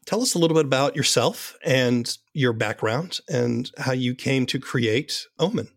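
A man's voice: medium pitch, expressive, a little slow, vocal fry. A man hosting an interview programme, giving scripted introductions and asking questions. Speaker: interviewer and host.

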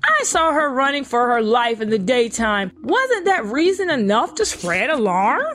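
Earnest, self-justifying storybook crow, high and lively. Female reader performs. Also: normal speed. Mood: defensive. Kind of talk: storybook character reading.

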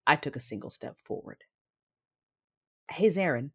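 A woman playing a grave, reserved warrior, narrating an audio drama in measured, formal, literary language. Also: sits low, lively intonation, a little mellow, husky, heavily acted. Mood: solemn, restrained.

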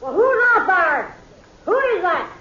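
Older American woman miner for radio western: high voice, stubborn, folksy and defiant, dull vintage recording. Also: very animated, measured pace, bright, forceful tone, slight background noise, exaggerated voice. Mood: defiant, shouting. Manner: folksy, defiant frontier talk. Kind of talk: 1950s radio western acting.

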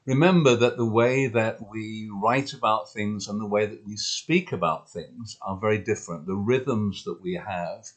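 A man, an experienced storyteller, speaking in a measured, encouraging way on a podcast. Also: lowish voice. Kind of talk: podcast discussion.

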